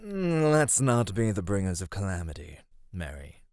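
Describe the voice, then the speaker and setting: A man's voice: sits low, big pitch swings, normal speed, a little mellow, dry recording, studio quality. A man voicing a flirty, vain male god of divine radiance in an ASMR roleplay, speaking in a teasing, affectionate way. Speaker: voice actor.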